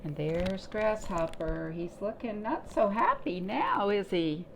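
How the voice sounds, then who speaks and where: A woman talks to small children as a storytime reader, with some noise in the background. Her delivery is unhurried and very melodic, on the lower side in pitch, encouraging and full of simple questions. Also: big pitch swings, gravelly, poor audio. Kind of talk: read-aloud and chat for young children.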